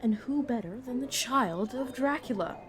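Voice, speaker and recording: A woman playing a brisk, protective vampire mentor in an audio roleplay, talking casually and teasingly to a new fledgling. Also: fairly high pitch, lively intonation, a little hiss or hum, lightly acted. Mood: exasperated, teasing. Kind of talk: narrative audio roleplay, addressed to the listener.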